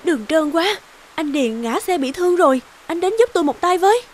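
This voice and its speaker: A woman's voice: animated, fairly quick, slight background noise. A woman voicing an urgent village woman calling out for help in a cartoon. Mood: urgent, alarmed. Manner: calling out, high-strung. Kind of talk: animated cartoon dialogue.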